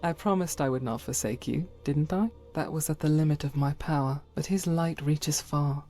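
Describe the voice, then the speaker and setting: A woman's voice: low-pitched, moderate pace, warm tone, soft background music, close to an everyday voice. A woman playing a devout, solemn holy warrior in a fantasy game, speaking in formal, archaic and earnest phrases. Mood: warm, reverent. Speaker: voice actor for a game companion. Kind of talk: dramatic scripted fantasy game dialogue.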